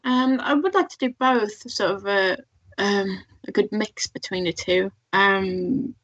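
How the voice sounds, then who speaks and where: A woman, a toy customizer being interviewed, talking modestly with many pauses and little laughs. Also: medium pitch, fairly bright tone, dry recording. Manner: modest, hesitant, many ums, laughs often. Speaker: guest artist and toy customizer. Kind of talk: casual podcast interview. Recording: dull lo-fi sound.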